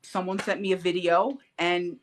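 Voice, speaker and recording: Animated woman telling a story. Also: fairly low pitch, moderate pace, a little hiss or hum. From Puerto Rican heritage. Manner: animated storyteller, acts out quotes, laughs often. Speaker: podcast guest. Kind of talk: casual interview chat.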